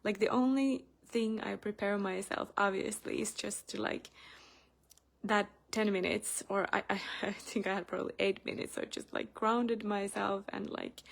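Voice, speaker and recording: A young woman speaks English with a Finnish accent, her voice sitting at a middle pitch with a gravelly fry running through it. She talks in a loose, chatty vlog manner full of fillers and restarts. When she retells a story, she gets more animated. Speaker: spiritual channel host. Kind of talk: casual personal vlog.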